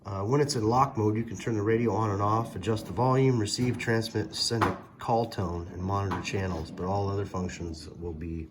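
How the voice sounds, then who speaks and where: A man's voice: lowish voice, fairly even intonation, brisk, hardly any pauses. A man giving a casual, hands-on gadget tutorial, explaining step by step in a hesitant way. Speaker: product reviewer, presenter. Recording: very noisy, electronic beeps and clicks.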